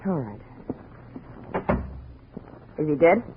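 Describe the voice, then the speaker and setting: A jealous, cynical dame in a vintage radio detective play. She is wary and sardonic, her pitch moving widely, on a muffled old recording with light hiss. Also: sing-song, drawn-out words, heavily acted. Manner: sardonic, hard-bitten. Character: wary, cynical, jealous blonde woman under suspicion. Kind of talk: 1950s radio drama acting.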